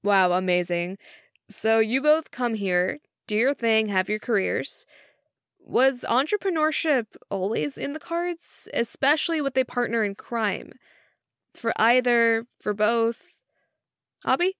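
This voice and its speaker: A woman's voice: fairly high pitch, lively intonation, slow, fairly bright tone, clean tone, dry recording, studio quality. A woman hosting a company podcast, reading an upbeat scripted intro and then questioning her guests with enthusiasm. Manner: upbeat, enthusiastic, slightly sing-song delivery. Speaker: podcast host.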